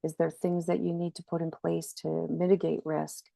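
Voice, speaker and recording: A woman's voice: fairly low pitch, monotone, moderate pace, creaky, mellow, dry recording. A woman with a slight cold, giving a webinar training presentation in an earnest, explanatory way. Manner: earnest, explanatory, frequent restarts. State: has a cold, slightly scratchy.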